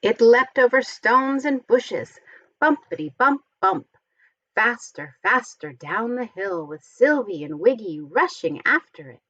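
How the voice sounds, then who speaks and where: A woman reading a storybook aloud to young children, expressively and with deliberate, careful enunciation. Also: a little high, sing-song, fairly bright tone, no echo, highs cut off. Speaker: storybook reader.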